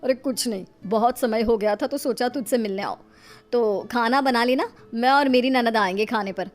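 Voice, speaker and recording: A woman's voice: on the high side, moderate pace, faint music behind, close to an everyday voice. A woman voicing a status-conscious female friend with a breezy, familiar, chatty manner.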